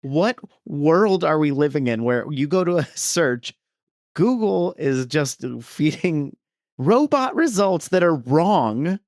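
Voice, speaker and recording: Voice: medium pitch, very animated, slow, darkish tone, no room sound, clean studio sound. A podcast co-host talking casually in a conversation about movies. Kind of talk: casual movie discussion chat.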